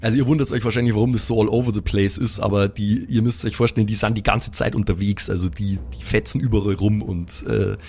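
A man's voice: on the low side, fairly even intonation, fast talker, hardly any pauses, a little mellow, a little hiss or hum. A man, narrating an improvised tabletop game as game master.

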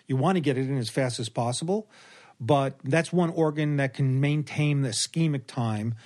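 A man's voice: mid-range voice, moderate pace, darkish tone. A middle-aged man speaking as a doctor and podcast guest, explaining things casually in a matter-of-fact way.

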